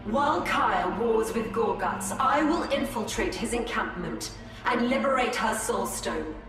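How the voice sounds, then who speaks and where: A woman's voice: a little high, animated. A solemn alien seer queen. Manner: solemn, deliberate planning. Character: resolute Eldar seer and leader, female adult. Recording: echoey hall with game music.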